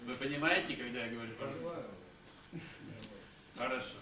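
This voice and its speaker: A man giving a conference talk in short phrases, pausing often with hesitation sounds. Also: medium pitch. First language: Russian. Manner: halting, many hesitation sounds. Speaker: conference speaker. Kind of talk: conference talk with pauses for interpreter. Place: conference hall. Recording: echoey hall, distant mic, faint hiss.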